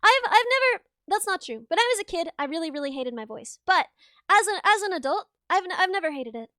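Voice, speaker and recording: A young woman streaming live, chatting casually with viewers in a rambling, laughing way, her voice a little raw and rough. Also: very high voice, sing-song, fairly quick, sharp tone, no echo, clean studio sound. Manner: rambling, chatty, laughs often, reads chat aloud.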